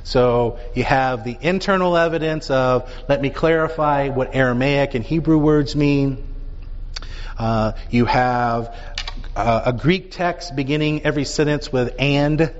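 A man teaching a Bible class, talking in an animated way and dotting his points with rhetorical questions. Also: medium pitch, unhurried. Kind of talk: lecture with audience questions. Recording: dull sound with long reverb in a hall.

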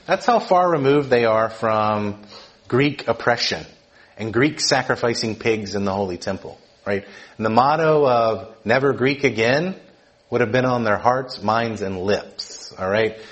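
A man's voice: lowish voice, unhurried. Man preaching with constant "right?" checks. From Georgia, US. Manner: conversational, keeps asking "right?" to the room. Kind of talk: teaching sermon.

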